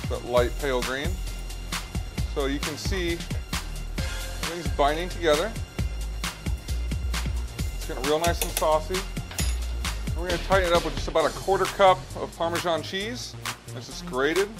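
A man's voice: on the high side, moderate pace, long pauses. A man in his thirties, a chef, talking through a pasta dish step by step in a restaurant kitchen. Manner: relaxed, explains each step as he cooks. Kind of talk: cooking demonstration. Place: restaurant kitchen by a hot stove. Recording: music playing under the voice.

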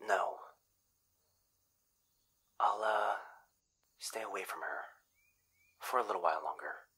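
A caring, anxious young boyfriend, played by a man in an audio roleplay. His low voice is very breathy yet has a sharp, bright edge. He talks gently and hesitantly, often dropping into a whisper. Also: slow pace, full character voice. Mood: worried, subdued.

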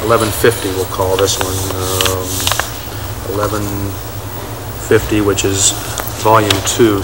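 A man's voice: lowish voice, very noisy. A man explaining as he works, hesitant and thinking aloud, on 1980s TV location sound. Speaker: radio restoration hobbyist. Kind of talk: unscripted explanation while working.

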